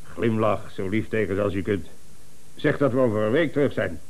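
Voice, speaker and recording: Pompous, domineering company boss. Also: male voice, lowish voice, lively intonation, a little fast. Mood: self-satisfied, commanding. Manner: pompous, emphatic, blustering. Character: pompous, infallible, domineering male company director. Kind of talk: radio comedy acting.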